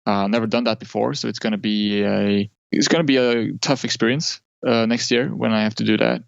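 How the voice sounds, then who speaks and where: Low gravelly man, muffled dry sound. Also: level tone, a little fast, no echo. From Norway. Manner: hesitant, many fillers and restarts. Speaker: guest. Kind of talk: casual interview talk.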